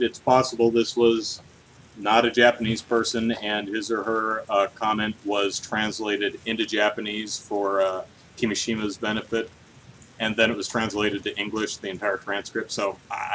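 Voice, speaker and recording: A man co-hosting a casual livestreamed podcast about video game news, talking in an animated, jokey way. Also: fairly low pitch, moderate pace, slight background noise, low-quality recording. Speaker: podcast co-host. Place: hot room at home, on a livestream.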